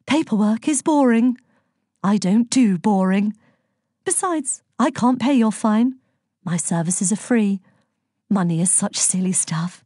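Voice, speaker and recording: A woman's voice: mid-range voice, lively intonation, unhurried, mellow, breathy edge, no room sound, clean studio sound. A woman voicing a sweet, mischievous goddess of love in a storybook, with a sing-song, trilling manner. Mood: breezy, carefree.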